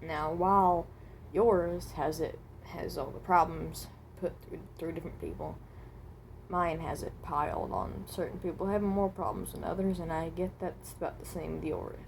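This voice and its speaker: A woman's voice: lowish voice, animated, normal speed, gravelly, slight background noise, poor audio. A woman playing a guarded, awkward animatronic possessed by someone who died at seventeen, in a hesitant, rambling amateur monologue. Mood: earnest, hesitant. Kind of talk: amateur character voice acting, improvised monologue.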